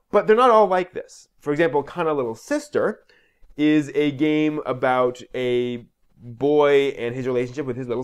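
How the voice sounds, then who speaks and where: A man's voice: medium pitch, clear, resonant tone. A man hosting an explainer video, speaking in an informal, conversational way with emphatic stresses and asides that trail off.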